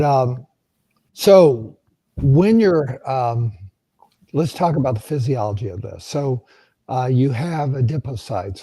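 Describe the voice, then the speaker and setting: A man losing his voice and sounding gravelly, explaining physiology in a live Q&A with frequent ums and uhs. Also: mid-range voice, dark tone, no echo. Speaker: co-host answering health questions.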